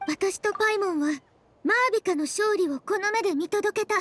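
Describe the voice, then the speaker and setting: Very high, fast, eager girl. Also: a bit bright, a little hiss or hum. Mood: impressed. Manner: brisk, eager. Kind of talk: anime game voice acting.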